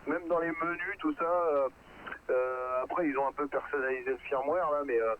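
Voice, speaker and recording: A man's voice: on the high side, moderate pace. Frenchman on a tinny, noisy radio-like line. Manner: informal, colloquial. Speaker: radio hobbyist taking part in a group conversation. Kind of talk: casual technical chat.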